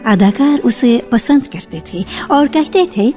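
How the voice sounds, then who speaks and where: A woman narrating a literary radio drama in an expressive, deliberate storytelling style, lingering on key words. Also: a little high. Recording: archival radio broadcast with faint music. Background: faint music.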